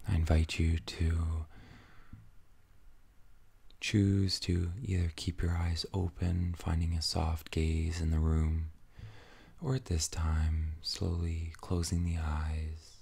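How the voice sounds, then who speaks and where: A man leading a guided meditation in a soft, soothing, breathy near-whisper, gently and with long pauses between phrases. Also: low-pitched, completely flat delivery, dry recording.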